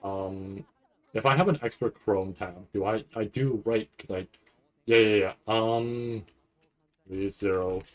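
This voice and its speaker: Low-voiced man rambling through live reaction commentary. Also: poor audio. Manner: rambling, thinking aloud, profane. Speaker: streamer reacting to an anime trailer.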